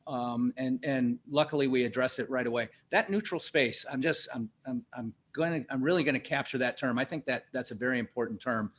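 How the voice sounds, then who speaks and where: A man hosting an interview and teaching leadership, talking conversationally in a halting way, with restarts while he tells personal stories. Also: medium pitch, slightly rough.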